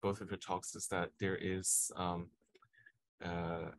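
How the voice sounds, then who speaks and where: Halting low-voiced man moderating a call. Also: flat delivery, no echo. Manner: hesitant, many fillers and restarts. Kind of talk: panel discussion on video call. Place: home office. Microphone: video call.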